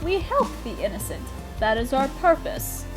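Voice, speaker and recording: Woman voicing a young witch, high swooping voice, coy and theatrical, kindly, protective, audio roleplay. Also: very animated, normal speed, over music. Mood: kind, reassuring. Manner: theatrical, coy, old-fashioned endearments. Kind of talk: scripted roleplay audio drama.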